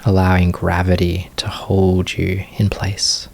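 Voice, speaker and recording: Male meditation teacher, low register, very slow delivery, short phrases separated by long pauses. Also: completely flat delivery, gravelly, darkish tone. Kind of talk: guided meditation, unscripted gentle instruction.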